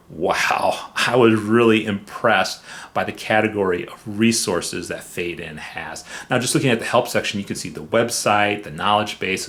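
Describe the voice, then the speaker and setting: Low-voiced man reviewing software. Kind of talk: semi-scripted review narration.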